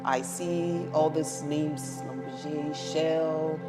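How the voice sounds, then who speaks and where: A woman's voice: low-pitched, flat delivery, slow pace. Chatty woman from Nigeria, music behind. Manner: chatty, checks in with "right?". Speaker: guest. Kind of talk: interview talk.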